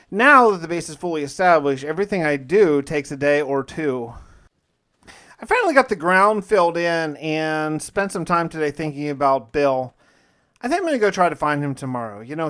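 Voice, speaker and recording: Male gaming host, slightly high register. Casual first-person storytelling with folksy, conversational asides. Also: a bit bright. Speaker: gaming video host and player.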